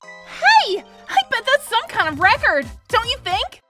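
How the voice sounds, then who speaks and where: A young woman playing an excitable, earnest young detective in a video game fan dub, with expressive, peppy delivery. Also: very high voice, a little slow, loud music behind the voice, exaggerated voice. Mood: chirpy.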